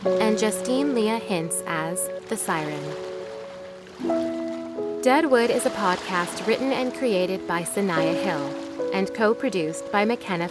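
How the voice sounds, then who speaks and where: Woman announcer over loud music. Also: on the low side. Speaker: credits announcer. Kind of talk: scripted podcast credits read. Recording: loud music bed behind voice.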